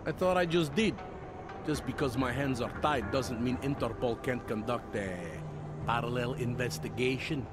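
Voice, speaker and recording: An actor playing a world-weary veteran city police detective in a game cutscene, wry and half-joking, over loud music. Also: male voice, mid-range voice, normal speed, natural voice. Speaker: game character.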